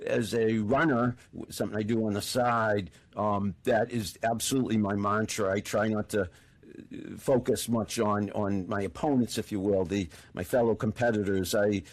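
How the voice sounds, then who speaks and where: A man's voice: lowish voice, fairly even intonation, moderate pace. A middle-aged man hosting a podcast, chatting with enthusiasm and often restarting and repeating words. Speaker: podcast host. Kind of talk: casual interview conversation.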